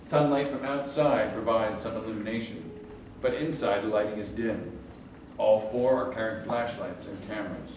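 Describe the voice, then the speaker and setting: A man's voice: lowish voice, moderate pace, a little mellow. Male narrator in a very echoey room. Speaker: stage-directions reader. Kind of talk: scripted narration at a staged play reading. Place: echoey room at a staged reading. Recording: very echoey room, distant mic, clear noise.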